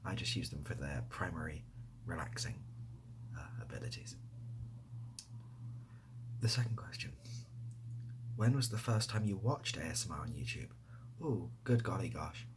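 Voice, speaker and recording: A man speaking softly in a casual ASMR style, breathy and often dropping to a whisper, rambling hesitantly with a self-deprecating manner. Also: deep voice, animated, moderate pace, pauses often, background noise. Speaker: ASMR video creator and host. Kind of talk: soft-spoken ASMR, casual question-and-answer to camera.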